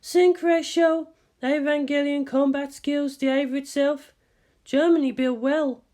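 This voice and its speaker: Woman voicing a cool, high-pitched senior female scientist. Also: steady tone, warm tone. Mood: measured appraisal. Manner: measured, didactic, dry. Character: cool, senior female scientist, measured, world-weary mentor. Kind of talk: anime fan fiction character voice.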